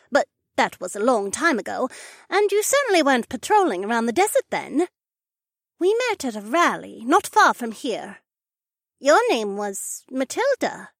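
A woman performs a young heiress from an adventure audiobook, earnest and insistent. Her voice is fairly high and swings expressively with emphatic, polite appeals. The recording is close and dry. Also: fairly bright tone, no echo, heavily acted. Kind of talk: audiobook character dialogue.

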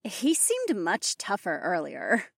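A man voicing a soft-spoken young woman companion in an audiobook, gently wry, in a murmuring manner. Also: very high-pitched, lively intonation, moderate pace, no room sound, studio quality, voice pushed up. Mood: wry, teasing. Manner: murmuring, muted. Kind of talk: audiobook character acting.

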